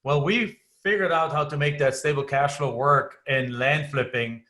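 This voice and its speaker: Guest: German-accented man in his forties, bright voice, talkative. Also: on the high side, moderate pace. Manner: talkative, frequent restarts and fillers. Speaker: guest investor. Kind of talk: casual podcast interview.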